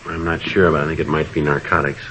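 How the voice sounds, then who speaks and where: An actor playing a terse, polite, matter-of-fact police detective sergeant in an old-time radio drama. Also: male voice, extremely low pitch, little pitch movement, fast, bright, forceful tone, slight background noise. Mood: matter-of-fact, firm. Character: clipped, businesslike male police sergeant detective, polite, unflappable. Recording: muffled old radio recording.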